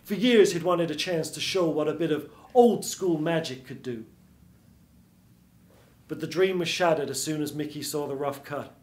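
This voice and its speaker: A man in his thirties narrates a noir tale in radio-play style. His voice is fairly high for a man and carries the roomy sound of a small theatre stage. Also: normal speed, darkish tone. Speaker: storyteller. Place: small theatre stage before seated audience.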